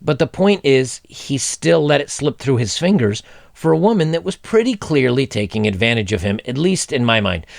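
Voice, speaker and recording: Man, 40s, gravelly, blunt commentary host, dry close mic. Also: medium pitch, normal speed, no room sound. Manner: blunt, opinionated, animated, with hand gestures. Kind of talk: reading and commenting on a news article. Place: indoor home studio, seated in gaming chair. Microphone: desk microphone in front.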